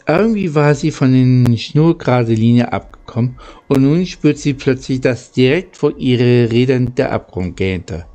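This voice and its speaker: A man narrating a children's story from a script, in a halting way that stumbles over words. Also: mid-range voice, animated, dark tone. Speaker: audiobook narrator.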